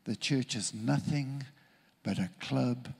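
A man from Zimbabwe, preaching a sermon in an earnest, deliberate way with emphatic repetition. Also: mid-range voice, gravelly, slightly rough.

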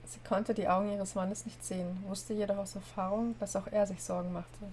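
A woman with a cold hosting a podcast and reading a story aloud in a steady, plain way. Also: mid-range voice, normal speed, a little hiss or hum. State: has a cold. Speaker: narrator and host.

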